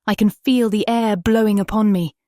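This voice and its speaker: A woman playing a gentle young married woman speaking slowly and dreamily in a gothic audiobook drama. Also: medium pitch, fairly even intonation, no echo, studio quality, close to an everyday voice. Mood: entranced, eerie.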